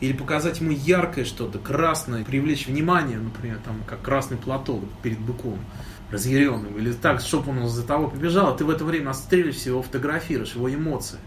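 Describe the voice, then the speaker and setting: A man's voice: medium pitch, quick pace. Man, amateur photographer, reflective interviewee, sudden emphatic rises, faint noise behind. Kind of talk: interview at an exhibition. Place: photo exhibition.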